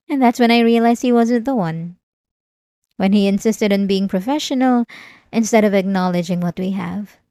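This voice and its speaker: Slow, eerily calm obsessive woman patient, acted by a woman. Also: mid-range voice, no echo, studio quality. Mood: wounded, resentful. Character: obsessive, manipulative female therapy patient, eerily calm and menacing. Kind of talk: ASMR roleplay monologue.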